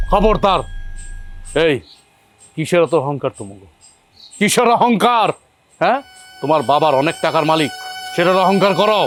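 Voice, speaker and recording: An actor voices a young husband who shouts in indignation at his wife's family. His voice is slightly high and breaks into angry outbursts. Faint music plays behind him. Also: natural voice.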